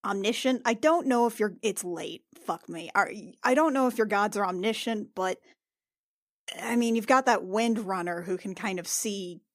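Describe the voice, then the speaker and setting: A woman playing a tabletop roleplaying game, improvising casual in-character chat in a halting, sweary way. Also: mid-range voice, dry recording, studio quality.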